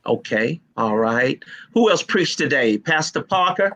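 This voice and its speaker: A man hosting a live online panel of pastors, jovial and teasing as he asks quick questions over a video call. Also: on the high side, animated, pushed and bright. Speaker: host and moderator.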